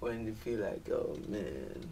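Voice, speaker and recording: A man's voice: fairly low pitch, animated, unhurried, vocal fry, recorded from a distance, noisy background. A man with a thick Jamaican accent, a dancehall musician talking casually as a guest, leaning on "man" and "you feel me". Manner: laid-back, peppers talk with "man" and "you feel me". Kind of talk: casual interview chat.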